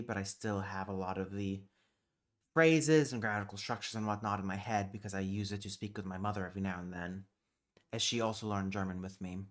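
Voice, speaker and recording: Casual streamer, a man with a lowish voice, mutters through tasks and swears when stumped. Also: a bit bright. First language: British Sign Language. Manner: thinking aloud, muttering while working; easily exasperated, swears under breath. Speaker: livestream host. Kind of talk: casual livestream chat.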